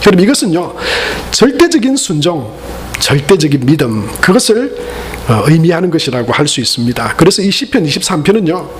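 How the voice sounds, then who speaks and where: A high-pitched male pastor preaches in a church sanctuary. He is earnest, yet he throws in playful asides to his congregation. A faint hiss of noise sits under his voice. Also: big pitch swings, fairly quick. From Gyeongsang region, Korea. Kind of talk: Sunday sermon.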